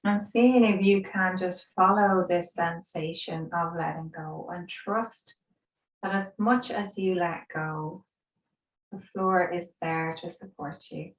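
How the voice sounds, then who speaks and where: Slow, echoey woman guiding relaxation. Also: fairly low pitch, fairly even intonation. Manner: soothing, gentle, unhurried with pauses. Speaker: yoga instructor. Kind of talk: guided relaxation meditation. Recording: roomy echo, distant microphone.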